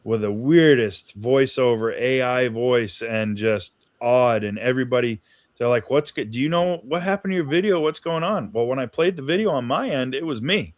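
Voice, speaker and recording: A man's voice: medium pitch, moderate pace, dry recording. Brief: laid-back male livestream guest, folksy storyteller, mid-range voice, dry close sound. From Maine. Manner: easygoing storyteller, folksy asides. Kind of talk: casual chat on a livestream.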